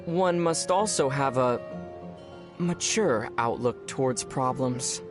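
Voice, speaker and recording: Man acting as young male adventurer, calm, dry teasing, medium pitch, video game dialogue over clear music. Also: slow pace, natural voice. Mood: calm, thoughtful. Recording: music behind the voice.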